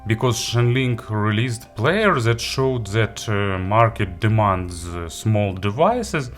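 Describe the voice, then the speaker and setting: A man giving a casual gadget review and unboxing, halting and hesitant as he thinks aloud. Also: fairly low pitch, lively intonation, faint music behind. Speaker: audio gear reviewer.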